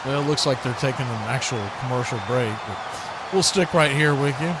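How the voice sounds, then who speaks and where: A man's voice: medium pitch, a little fast, very noisy. An American man doing live football play-by-play and chat as the host of a stream, with a folksy, conversational manner.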